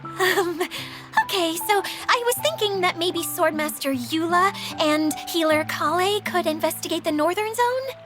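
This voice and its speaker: A woman's voice: very high-pitched, lively intonation, a little slow, over music. A woman voicing a timid, polite and self-doubting mascot caretaker in a video game, speaking in a hesitant, apologetic way. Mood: earnest, polite.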